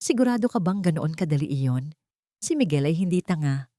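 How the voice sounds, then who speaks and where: A woman's voice: sits low, lively intonation, fairly quick, mellow, no echo, clean studio sound. A woman voicing a corrupt middle-aged police chief and father in an audio drama, wary and authoritative.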